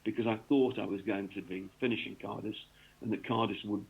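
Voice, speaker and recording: A man's voice: on the low side, fairly even intonation, brisk, creaky, slightly rough. A man from North East England, a cricket author, talking through his work in a rambling radio interview full of ums and restarts. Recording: tinny phone line.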